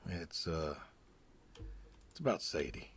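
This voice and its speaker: A man's voice: a bass voice, big pitch swings, takes it slowly, noisy background, heavily acted. A man playing an aging, wistful, plainspoken pig farmer, terse and folksy in naturalistic video game dialogue. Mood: reserved, wistful.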